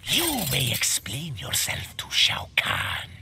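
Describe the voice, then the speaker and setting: An actor playing a greedy, menacing otherworldly tribute collector with a gravelly hiss and a sly, formal, theatrical manner in a fighting game. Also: male voice, medium pitch, huge pitch swings, slow pace, full character voice. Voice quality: gravelly, hissing. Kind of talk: video game fight banter acting.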